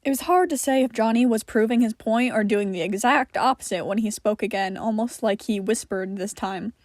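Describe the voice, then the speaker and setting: A woman narrates a piece of fiction from a script. Her voice sits fairly high, and the recording is dry and close with no room sound. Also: normal speed, darkish tone, no echo. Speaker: podfic narrator.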